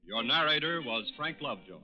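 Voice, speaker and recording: A man with an American accent reading a sponsor's announcement in the formal, measured, declamatory style of 1940s radio. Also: mid-range voice, lively intonation, bright, forceful tone, faint music behind. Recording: muffled 1940s radio recording.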